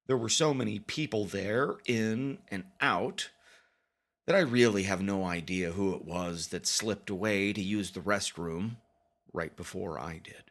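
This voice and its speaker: A man with a fairly low voice narrates a personal story like a confession. His delivery is very slow and halting, with deliberate pauses. He leans on words with mock-grave, theatrical weight. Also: fairly bright tone.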